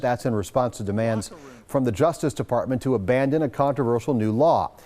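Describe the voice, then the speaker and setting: A man's voice: mid-range voice, a little mellow. A man with an American accent reading scripted local news as a TV anchor in a studio.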